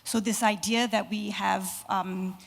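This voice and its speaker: A hoarse woman losing her voice, giving a hesitant academic panel response full of fillers. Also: medium pitch, steady tone, takes it slowly. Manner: hesitant, many fillers, thinking aloud. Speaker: conference panelist. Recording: reverberant conference hall.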